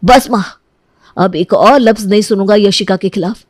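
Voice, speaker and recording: A mid-pitched, closely recorded voice of a protective young man, played by a woman. He is earnest and insistent, with the delivery of a cartoon moral story. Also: normal speed, no echo, studio quality, lightly acted. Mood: protesting. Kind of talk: acted dialogue in Hindi moral story cartoon.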